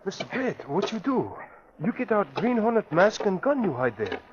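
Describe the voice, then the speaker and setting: Eager radio sidekick: high male voice, clipped broken English, dull and faintly noisy recording. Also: sing-song, normal speed. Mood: curious. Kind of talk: classic radio drama acting.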